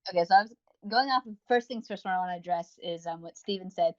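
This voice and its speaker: A man co-hosting a casual review podcast, chatty and quick to give his opinions. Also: sits high, muffled recording. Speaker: podcast co-host reviewing audio roleplays.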